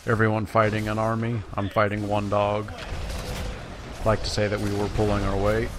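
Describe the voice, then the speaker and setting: A man's voice: lowish voice, completely flat delivery, normal speed, music in the background. A man giving casual gameplay commentary in a self-deprecating, wry manner. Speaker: let's play host. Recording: clearly noisy, game sounds behind voice. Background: video game battle sounds.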